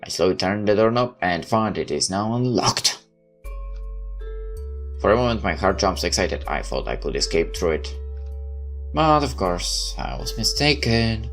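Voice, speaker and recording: A very low-pitched male gamer reads story text aloud in a dramatic let's play style, tripping over the odd word. He drops in wry, joking comments of his own. Clear music plays behind him. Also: sing-song, unhurried, long pauses, a bit bright. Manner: wry, dramatic reading with stumbles. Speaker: gamer reading a visual novel aloud. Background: game music with guitar.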